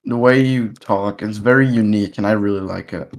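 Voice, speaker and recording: Low-pitched man as a podcast co-host, earnest and direct in casual conversation. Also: a little fast.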